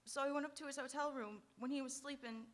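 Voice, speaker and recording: Distraught, protective radio-play heroine played by a woman, high and sharp, pleading melodrama. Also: steady tone, fast talker. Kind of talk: old-time radio crime drama acting. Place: auditorium stage, live radio theatre.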